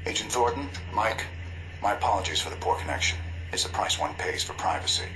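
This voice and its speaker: An actor playing an older, secretive intelligence broker in a video game, formal, precise and cool over a radio transmission. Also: male voice, lowish voice, animated, fairly quick. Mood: brisk, businesslike. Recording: noisy, humming radio link.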